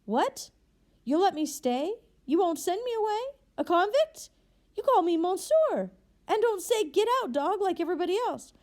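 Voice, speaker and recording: A woman's voice: on the high side, moderate pace, mellow, close to an everyday voice. A woman reading aloud and voicing a desperate forty-six-year-old ex-convict man who stammers and pleads. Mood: stammering, astonished. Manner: stammering, pleading, tumbling words.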